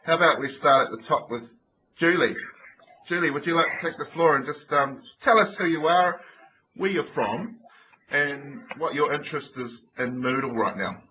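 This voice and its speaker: Nz guy running a webinar, really bright voice, muffled call audio. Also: on the high side, animated, normal speed. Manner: encouraging, informal, with fillers. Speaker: webinar host. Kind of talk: online webinar facilitation. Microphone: computer mic on a video call. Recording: muffled lo-fi web call audio with faint noise.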